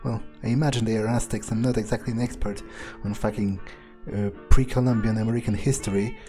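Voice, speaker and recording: A man playing a jaded, impatient vampire in a video game, thinking aloud in a halting, profane, sardonic monologue. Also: on the low side, steady tone, dark tone, faint music behind, natural voice. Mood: curious, dismissive. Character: jaded, foul-mouthed male vampire, contemptuous and impatient.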